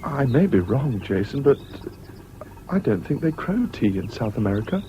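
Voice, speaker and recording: An actor playing a calm, decent older schoolboy with an English public-school accent, speaking in a measured, kind way in a radio drama. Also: male voice, medium pitch, natural voice. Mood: kindly, friendly. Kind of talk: 1970s BBC radio drama acting. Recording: muffled, hissy old radio broadcast.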